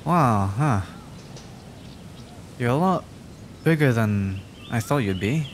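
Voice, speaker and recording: A man's voice: mid-range voice, lively intonation, takes it slowly, a little hiss or hum. Man playing a nervous, stammering young dragon. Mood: shy, curious. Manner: stammering, hesitant, rambling. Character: timid, injured young male dragon, nervous and adorkable. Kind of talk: roleplay ASMR audio drama.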